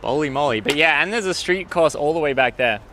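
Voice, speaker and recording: A man's voice: fairly high pitch, animated, fairly quick. A man hosting a casual livestream at an outdoor skatepark, talking in slangy, enthusiastic bursts. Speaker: livestream host. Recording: noisy outdoor livestream audio.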